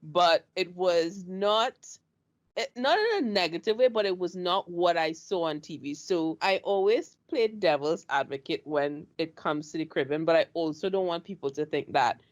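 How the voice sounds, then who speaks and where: A woman from Trinidad with a Trinidadian accent hosting an interview, chatty and animated as she tells her own stories. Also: on the low side, moderate pace. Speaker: show host. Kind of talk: casual interview chat.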